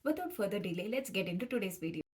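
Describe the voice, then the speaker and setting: A woman's voice: medium pitch, sing-song, very fast, very noisy. A young woman, a native Tamil speaker with a Tamil accent, presenting an educational explainer step by step as a student addressing her viewers. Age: young adult.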